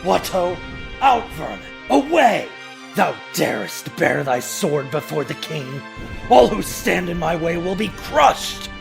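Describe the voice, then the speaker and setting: A man's voice: sits high, sing-song, slow, gravelly, music in the background, exaggerated voice. An actor playing a theatrical troupe leader in a game fandub, declaiming grandly as announcer and haughty stage king. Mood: imperious, angry.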